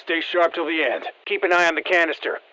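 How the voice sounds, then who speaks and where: A man voicing a seasoned special-forces operator in a video game, briefing the squad in a terse, steady, encouraging way. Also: on the high side, a little fast, poor audio. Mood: focused, encouraging. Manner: terse, matter-of-fact military delivery. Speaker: military operator giving mission guidance. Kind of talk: scripted video game voice lines.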